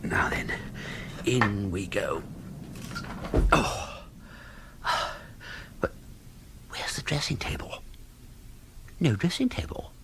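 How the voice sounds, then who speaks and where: Flustered upper-class English gent, very animated. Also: male voice, on the low side, slow, long pauses, in a whisper. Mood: furtive. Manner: plummy, blustering, sarcastic, stammering when cornered. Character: flustered upper-class English young gentleman, hapless and protesting. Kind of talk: comic radio drama acting.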